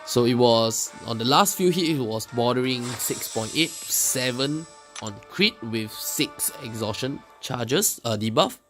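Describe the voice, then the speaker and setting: A man giving casual, unscripted game commentary as a video host, explaining things in a hesitant way with pauses mid-sentence. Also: mid-range voice, lively intonation. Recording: loud music behind the voice. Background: loud music under the commentary.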